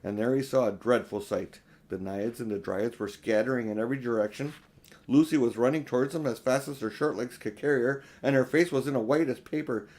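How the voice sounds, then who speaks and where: An older man reads a children's storybook aloud. His voice sits at a middle pitch, and his narration is plain and homely, like an amateur audiobook. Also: fairly quick, darkish tone.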